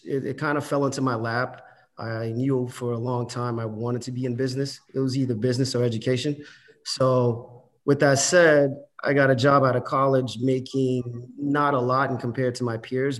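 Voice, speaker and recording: A man in his forties from Haiti giving an earnest, rambling introduction with many fillers on a video call panel. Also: mid-range voice. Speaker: panelist, investment banker.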